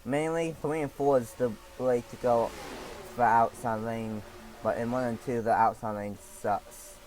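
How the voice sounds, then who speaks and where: Raspy young man calling a sim race. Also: mid-range voice, steady tone, darkish tone, rough recording. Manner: halting, with many fillers and restarts. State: raspy from allergies. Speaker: league race commentator. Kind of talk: play-by-play sim racing commentary.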